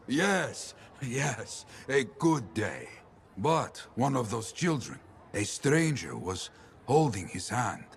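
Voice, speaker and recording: A man playing an earnest, solemn veteran adventurer, telling stories deliberately in a gravelly voice in a video game. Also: medium pitch, very animated, slight background noise. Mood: earnest wonder. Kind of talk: dramatic video game cutscene acting.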